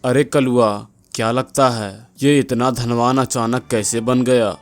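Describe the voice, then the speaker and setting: A man's voice: mid-range voice, fairly even intonation, unhurried, faint music behind, close to an everyday voice. A man voicing a greedy, scheming rich village moneylender in a moral-story cartoon, bossy and wheedling. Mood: suspicious, bossy.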